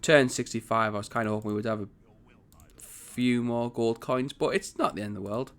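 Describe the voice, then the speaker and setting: A man hosting a strategy game commentary, chatty and rambling, with a croaky morning voice. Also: medium pitch, fairly even intonation, a little fast, a bit bright. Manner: chatty, rambling, self-correcting. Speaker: gaming channel host.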